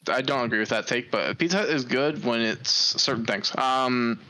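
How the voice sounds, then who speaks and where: A man's voice: medium pitch, normal speed, bright, forceful tone, rough recording. A man co-hosting a podcast, chatting casually, blunt and swearing freely. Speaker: podcast co-host. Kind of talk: casual chat.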